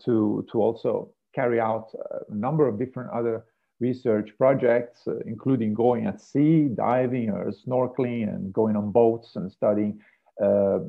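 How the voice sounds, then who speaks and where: Gravelly Italian man lecturing over a thin line. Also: lowish voice. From Italy, Rome. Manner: hesitant, many fillers and restarts. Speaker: marine biology professor. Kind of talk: online lecture. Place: home room with a piano. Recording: gravelly, tinny video call audio.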